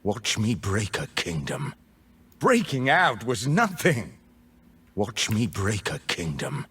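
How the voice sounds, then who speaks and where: A man playing a defiant rebel mage leader in a video game, speaking theatrically with a rough, husky voice. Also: on the low side, wildly swooping pitch, sharp tone, slight background noise, full character voice. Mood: menacing. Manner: slow, deliberate, theatrical. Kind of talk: video game character voice lines.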